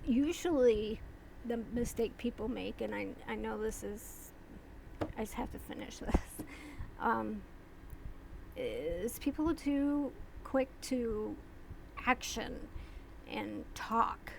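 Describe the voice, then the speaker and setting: A woman vlogger talking casually and slowly, rambling with pauses and restarts. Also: on the high side, vocal fry, a little mellow, slight background noise, poor audio. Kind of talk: casual talk to camera.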